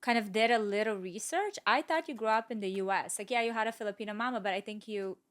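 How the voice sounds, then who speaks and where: A woman's voice: a little high, quick pace. A middle-aged woman hosting a podcast, chatting casually and asking curious follow-up questions. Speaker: podcast host. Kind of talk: casual chat.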